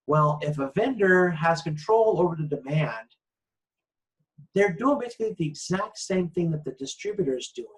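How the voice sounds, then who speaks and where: A man lecturing in an informal, conversational way, explaining things a little haltingly. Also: fairly high pitch, low-quality recording.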